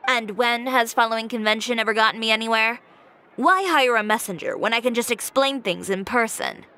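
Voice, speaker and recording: A woman's voice: on the high side, a little fast. A woman playing a direct, self-assured young fashion designer in a video game. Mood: cool. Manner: direct, cool, matter-of-fact. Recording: game audio with soft music. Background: soft game music.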